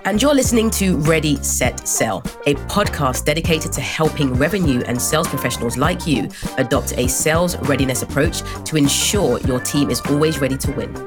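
A woman with a notably low voice co-hosts a sales podcast, keen and lively as she chats. Soft music sits faintly under her words. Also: a little fast, few pauses. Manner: upbeat, enthusiastic. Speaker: podcast co-host. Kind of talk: podcast hosting.

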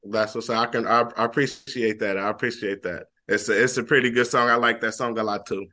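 A man's voice: lowish voice, fairly even intonation, a little fast, bright, forceful tone. A young man from Flint, Michigan, a rapper talking casually as a guest in an interview, laid-back and full of fillers. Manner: laid-back, repeats phrases, many fillers.

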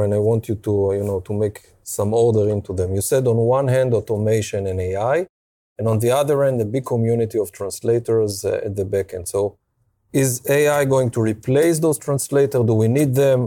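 A man hosting a podcast interview, asking probing questions and pressing for clear explanations. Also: medium pitch, moderate pace, warm tone, no echo, studio quality.

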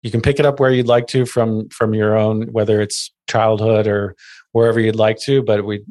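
A man's voice: fairly low pitch, level tone, normal speed, a little mellow, dry recording. Rambling man hosting a podcast. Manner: rambling, filler-heavy questions. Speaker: podcast host. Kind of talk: conversational interview.

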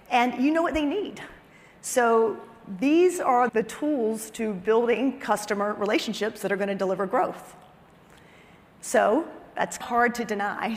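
High-voiced woman presenting in a reverberant hall. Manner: emphatic repetition, conversational asides. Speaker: chief marketing officer giving a conference talk. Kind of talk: business keynote presentation.

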